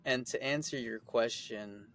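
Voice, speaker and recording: A man's voice: mid-range voice, measured pace, a bit bright. Easygoing young man with faint background hum. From Philippines. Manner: easygoing and thankful, rambling with fillers. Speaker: guest actor. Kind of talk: casual interview answers.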